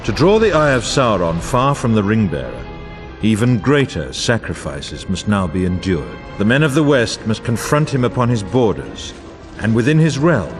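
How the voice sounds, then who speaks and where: Low, solemn epic war chronicler. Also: male voice, very animated, moderate pace. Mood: solemn, foreboding. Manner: grandiose, portentous, formal delivery. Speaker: narrator. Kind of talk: scripted dramatic fantasy game narration. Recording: orchestral music bed under voice. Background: music.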